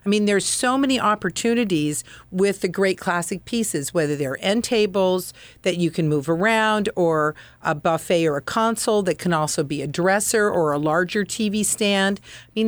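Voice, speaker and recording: Low-voiced woman explaining, dry studio. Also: dry recording. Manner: earnest, explanatory, practical advice. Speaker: furniture expert guest. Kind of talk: radio interview.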